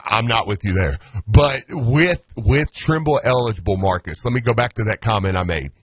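Low, husky male host, excitable casual sports chatter, dry sound. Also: no room sound. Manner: excitable, stammering restarts.

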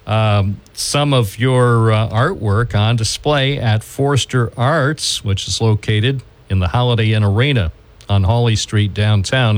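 A man hosting a local radio morning show, interviewing a guest. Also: lowish voice. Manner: measured, with pauses and fillers. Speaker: radio host.

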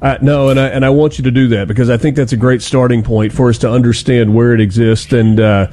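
A man's voice: medium pitch, steady tone, quick pace, warm tone, slight background noise. A man interviewing a guest as host, speaking in a courteous, easygoing and conversational broadcast style.

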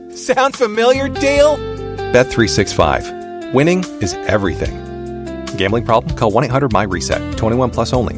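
A man voicing a comic radio-style ad with a wry, deadpan delivery. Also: mid-range voice. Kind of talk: comic ad read.

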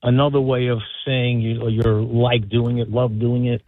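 Halting male caller on a phone line. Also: mid-range voice, level tone, no echo. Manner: halting, repeats words while explaining. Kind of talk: phone-in conversation.

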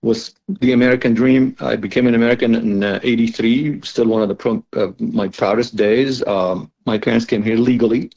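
A man in his sixties from Lebanon, a guest in a conversational radio interview, speaking in a halting way with repeated words. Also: on the low side, a little mellow, breathy edge. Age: 60s. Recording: dull lo-fi remote connection.

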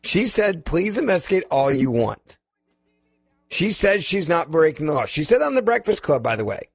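A man hosting a talk radio show and taking calls, talking emphatically and quickly, often pushing his voice higher to drive a point home. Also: very animated, creaky, slightly rough, dry recording, faint music behind. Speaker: fill-in radio talk host. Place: radio studio. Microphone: studio microphone.

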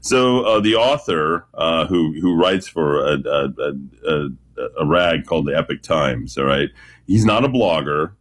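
A man with a deep voice calling in to a talk radio show, speaking with confident, emphatic storytelling and dramatic pauses. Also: lively intonation.

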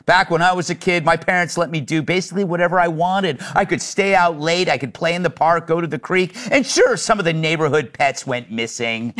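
A middle-aged man hosting a comedic panel talk show, telling jokes with a sardonic, deadpan delivery. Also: a little high, fairly quick.

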